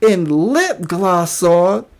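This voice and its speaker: A man's voice: sits high, sing-song, drawn-out words, a little mellow. A man hosting reaction commentary in a mocking manner, often repeating phrases for emphasis. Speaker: commentary host.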